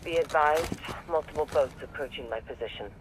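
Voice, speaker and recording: An actor playing a calm, businesslike intelligence handler in a video game, with brisk, clipped phrasing over comms. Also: medium pitch, background noise, natural voice. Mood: calm, alert.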